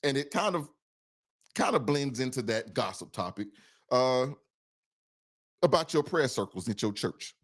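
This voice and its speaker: A man's voice: medium pitch, expressive, a little slow, long pauses. A man co-hosting a podcast in a loose, casual way, often repeating and restarting his phrases. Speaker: podcast co-host.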